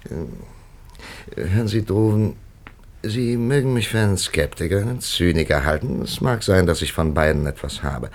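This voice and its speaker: Creaky, deep-voiced older prelate. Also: male voice, full character voice. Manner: deliberate, hesitant, ponderous musing with dramatic emphasis. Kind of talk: German radio play acting.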